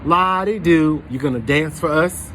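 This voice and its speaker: A man's voice: a little high, unhurried, fairly bright tone, slight background noise. A man voicing scornful, teasing schoolboys from a children's storybook in a taunting way.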